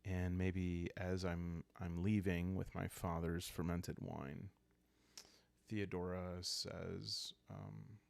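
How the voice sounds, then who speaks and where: Guy doing calm asmr solo game narration, deep voice, super dry close sound, kinda halting. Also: gravelly, no echo. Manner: calm, unhurried, halting, thinking aloud. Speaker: host and solo player of a journaling roleplaying game.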